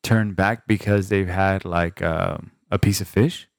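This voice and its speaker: A man's voice: low-pitched, fairly even intonation, unhurried, vocal fry, dry recording, studio quality. A man co-hosting a casual podcast, reacting a lot, swearing and using slang. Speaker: podcast co-host. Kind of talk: casual chat.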